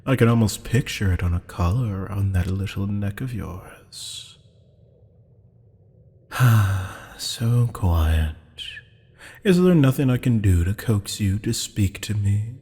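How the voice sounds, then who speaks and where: A man's voice: fairly low pitch, gravelly, warm tone, slightly rough, heavily acted. A man playing a teasing, flirtatious, menacing demon captor in an ASMR roleplay, purring pet names and slipping into half-whispers. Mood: teasing, amused. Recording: long hall-like reverb.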